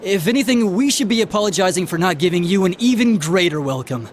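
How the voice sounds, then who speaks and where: A man's voice: high-pitched, animated, fast talker, a little hiss or hum. An actor playing an eager, flustered station attendant in a game, gushing and obsequious toward his betters. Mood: fawning, eager. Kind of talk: video game cutscene acting.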